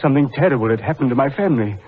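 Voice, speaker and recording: An actor playing a distraught, horror-struck village gentleman in halting, melodramatic radio style. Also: mid-range voice, expressive, natural voice. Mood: horrified. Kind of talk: 1940s radio melodrama acting. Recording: muffled old radio, faint hiss.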